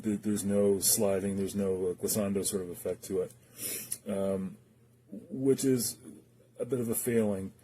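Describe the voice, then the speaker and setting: Low, very dark-voiced man reviewing gear. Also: slight background noise, rough recording. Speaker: guitar pedal reviewer. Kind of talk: casual unscripted product review and demonstration.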